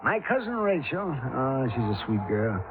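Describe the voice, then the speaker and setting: A man's voice: medium pitch, sing-song, measured pace, over music. An actor playing a cantankerous, bossy old man in a folksy, blustering 1950s radio drama style. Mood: folksy, storytelling. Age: older. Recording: noisy, tinny 1950s radio recording.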